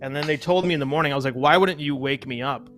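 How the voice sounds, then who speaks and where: A man around forty, a new guest, telling a relaxed anecdote and laughing as he goes in a group chat. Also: a little high, quick pace, soft background music.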